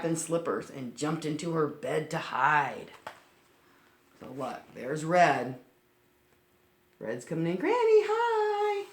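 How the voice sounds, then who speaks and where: A woman reading a picture book aloud to children, breaking off to explain things simply. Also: low voice. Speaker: children's storytime reader. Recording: roomy, echoing sound.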